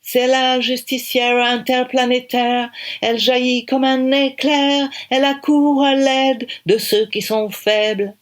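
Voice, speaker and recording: Brief: a woman doing a theatrical British film director, high and exuberant, storybook. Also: normal speed, few pauses, a bit bright, lightly acted. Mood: singing. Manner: exuberant, sing-song.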